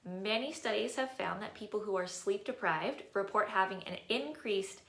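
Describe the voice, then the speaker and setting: A friendly woman dietitian leads a live class on health, her voice a little nasal because of a tissue in one nostril. She talks in an upbeat, relaxed way and lightly teases herself. The sound bounces around an echoey room. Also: medium pitch, moderate pace. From California. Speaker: registered dietitian and personal trainer teaching a class. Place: indoor room, streaming live.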